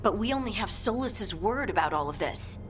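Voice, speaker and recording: A woman's voice: medium pitch, lively intonation, moderate pace, a bit bright, faint music behind, natural voice. An actress playing a determined, worried female scout in a fantasy video game, speaking plainly and with urgency. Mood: insistent. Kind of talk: cinematic video game dialogue.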